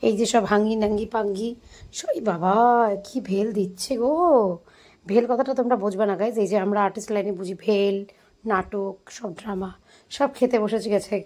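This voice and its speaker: A woman's voice: medium pitch, steady tone, brisk, a little mellow. A woman narrating a casual vlog in a chatty, playful, slangy way. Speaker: vlogger. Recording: clearly noisy recording with background ambience.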